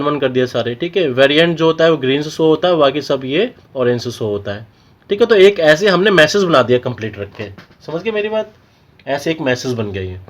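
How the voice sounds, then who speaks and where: A man with an Indian accent giving an informal technical tutorial, explaining step by step and often checking in with short tags. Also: fairly high pitch. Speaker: technical instructor.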